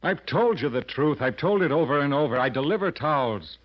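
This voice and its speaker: Meek little driver guy in old radio play, highish voice, flustered, pitch all over. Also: animated, fairly quick. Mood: pleading, frightened. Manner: flustered, pleading. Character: little, timid, protesting male truck driver. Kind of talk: 1940s radio crime drama acting. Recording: dull, lo-fi old radio recording.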